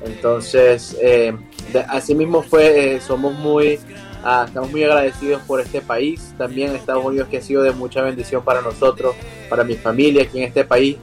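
Young Venezuelan male singer, remote guest, hesitant and filler-heavy, soft music under him. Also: mid-range voice, flat delivery, normal speed. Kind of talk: interview over a video call. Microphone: video call.

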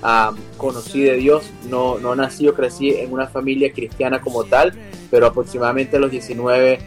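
Young Venezuelan male singer, remote guest, hesitant and filler-heavy, soft music under him. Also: mid-range voice, flat delivery, moderate pace. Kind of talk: interview over a video call. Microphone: video call.

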